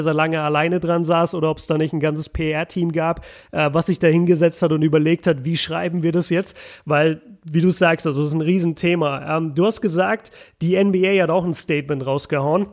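A man's voice: fairly high pitch, steady tone, a little fast. A young man talking casually on a podcast, chatty, with many fillers and restarts, mixing English words into his speech.

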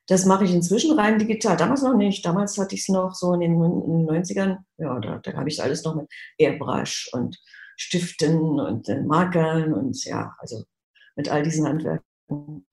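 Relaxed woman on an echoey video call. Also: deep voice, moderate pace. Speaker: illustrator, interview guest. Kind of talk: casual interview.

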